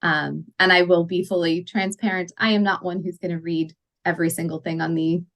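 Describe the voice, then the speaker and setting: A woman's voice: on the low side, steady tone, a little fast, a bit bright. A woman hosting an online parent group meeting, talking casually with fillers and introducing speakers.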